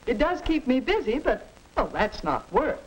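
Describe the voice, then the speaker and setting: A woman's voice: high voice, sing-song, normal speed. A woman playing a busy, dutiful American housewife in a 1950 educational film, stagey, as if talking to herself, on a noisy soundtrack. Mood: brisk, busy.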